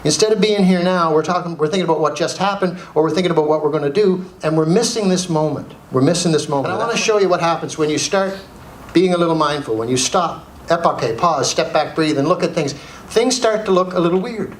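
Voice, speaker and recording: A middle-aged Canadian man giving a humorous motivational keynote as an animated storyteller, repeating phrases for emphasis. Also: on the high side, quick pace. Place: indoor speaking venue with audience. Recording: roomy live hall, faint background noise.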